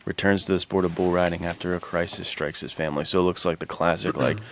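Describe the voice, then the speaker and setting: A man's voice: low-pitched, steady tone, quick pace, fairly bright tone, slight background noise. A man co-hosting a podcast, chatting casually about movies in a slangy, enthusiastic way. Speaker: podcast co-host.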